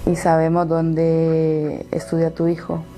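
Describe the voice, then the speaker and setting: A woman in her thirties with a Salvadoran accent, giving personal testimony in an interview and pausing between phrases. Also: sits low, completely flat delivery, dark tone. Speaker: interviewee telling her own story.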